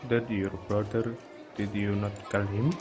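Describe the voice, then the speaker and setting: A man's voice: fairly low pitch, level tone, a little slow, close to an everyday voice. A young man with an Indonesian accent playing a student in an amateur radio drama. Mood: plotting. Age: young adult. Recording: loud music and outdoor crowd behind.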